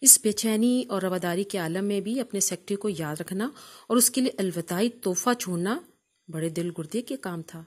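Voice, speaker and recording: A woman with a Pakistani accent reads a memoir aloud as an audiobook narrator. Her voice sits at a medium pitch for a woman. She delivers the scripted literary prose in a formal, measured storytelling style. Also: darkish tone, husky.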